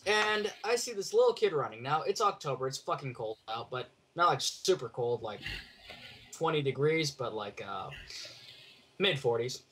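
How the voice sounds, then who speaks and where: A man telling a casual story over an online voice call, blunt and sweary, in halting phrases. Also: a little high, lively intonation, sharp tone. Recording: noisy online call with clicks.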